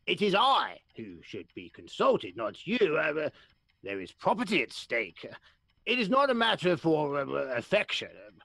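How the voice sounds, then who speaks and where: A man acts a blustering old father for a radio play. He is muddled and pompous, full of stammered hesitations. The voice swings hugely in pitch and sounds dry and close. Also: wildly swooping pitch, normal speed, creaky, sharp tone, dry recording, heavily acted.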